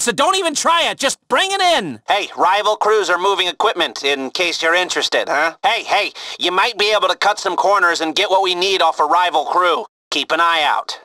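An actor playing a jittery, sardonic criminal heist planner in a video game, talking casually with nervous, rambling asides. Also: male voice, fairly high pitch, pushed and bright, no room sound, studio quality. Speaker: heist coordinator giving mission briefings.

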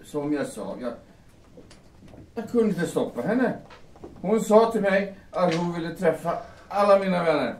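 A man's voice: high voice, lively intonation, pauses often, darkish tone. A man in an audio drama playing a besotted fiancé, defending his bride-to-be in a hesitant, placating, explanatory way. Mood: explaining. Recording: echoey room, distant, faint noise.

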